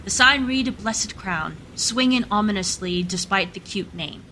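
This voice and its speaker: A woman's voice: medium pitch, lively intonation, unhurried, pushed and bright, a little hiss or hum, poor audio. A woman reading scripted story narration for a radio play. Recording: music playing behind the voice.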